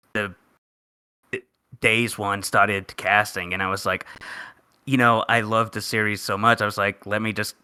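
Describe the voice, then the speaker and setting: Crisp, very bright low-voiced man. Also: no room sound. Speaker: podcast guest, fandub participant. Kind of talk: casual chat.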